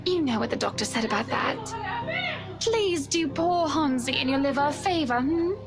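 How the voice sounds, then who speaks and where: A woman voicing a poised upper-class wife in a visual novel, polite and coaxing with a gently teasing firmness. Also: sits high, sing-song, normal speed, loud music behind the voice. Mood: coaxing, firm. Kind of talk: visual novel character acting.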